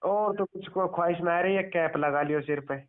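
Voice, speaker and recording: A man's voice: sits high, brisk, slight background noise. A man with a Mewati accent having a casual, teasing chat over a telephone. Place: phone call. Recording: muffled telephone call recording.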